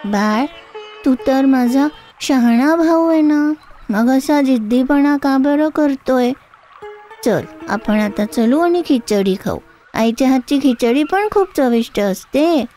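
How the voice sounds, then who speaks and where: A woman voicing a gentle, patient young elder sister in a children's story, speaking soothingly. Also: high-pitched, animated, soft background music, pitched above the performer's own voice. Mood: coaxing. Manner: slow, soothing.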